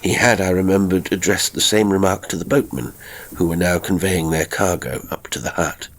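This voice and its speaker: A man narrating a literary story in a measured, formal and expressive storytelling manner. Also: sits low. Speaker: audiobook narrator.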